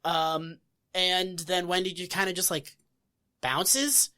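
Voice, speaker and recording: A woman co-hosting a podcast, casually recapping a TV episode with profanity and quick acted-out quotes. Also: fairly low pitch. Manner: animated retelling with put-on quotes, profane, laughing. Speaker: podcast co-host.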